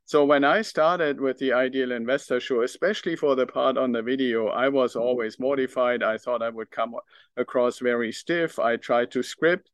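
A man's voice: medium pitch, moderate pace, clear, resonant tone, no echo. German-accented man hosting a podcast, dry sound. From northern Germany. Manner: earnest, reflective, thinks aloud with fillers. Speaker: podcast host. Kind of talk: solo podcast monologue.

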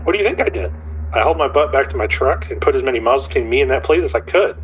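A man's voice: mid-range voice, expressive, rapid-fire, soft background music. An actor playing a rattled, earnest male caller to a radio show, folksy and insistent, heard through a phone. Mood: indignant. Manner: folksy, insistent, asks rhetorical questions. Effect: phone-call filter. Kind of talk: dramatic eerie storytelling on a radio call-in. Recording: tinny noisy phone line.

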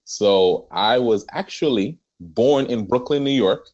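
A man with a Trinidadian accent, a pastor telling his life story as a podcast guest, with many pauses. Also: mid-range voice, animated, darkish tone, lo-fi sound. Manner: earnest storytelling with frequent "um" pauses. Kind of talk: conversational interview.

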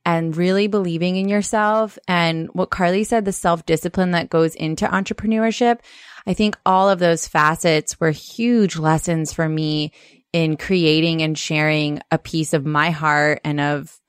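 Woman podcast co-host, deep voice, smooth, frank and emphatic, dry sound. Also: fairly even intonation, a little slow, no echo. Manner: earnest, frank, emphatic, occasional swearing. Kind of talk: casual podcast chat.

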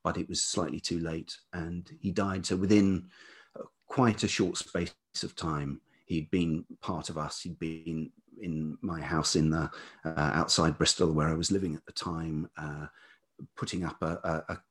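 A man's voice: low-pitched, little pitch movement, a little slow, breathy edge. An older man, telling personal stories reflectively as an interview guest, in a hesitant, thoughtful way. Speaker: podcast guest, writer and musician.

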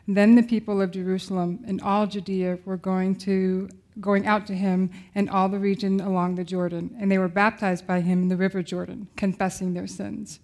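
Woman reading bible verses out loud, normal mid pitch. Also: level tone, normal speed, hardly any pauses. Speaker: scripture reader.

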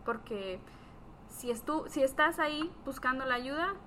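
A woman in a casual discussion, explaining earnestly as a co-host and pausing between phrases. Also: high voice, lively intonation, pushed and bright, slight background noise. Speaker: therapist, podcast co-host.

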